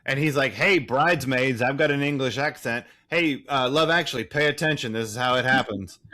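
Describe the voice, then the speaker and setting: Bright-voiced man, rambling and irreverent. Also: medium pitch, fairly quick. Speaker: podcast co-host. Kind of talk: casual movie recap chat.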